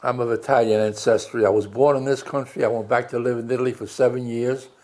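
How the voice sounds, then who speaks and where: A man's voice: mid-range voice, steady tone, fast talker, a little mellow. A man around ninety, a veteran telling wry stories of his youth in an oral history interview. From New York City. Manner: storytelling, chatty, wry.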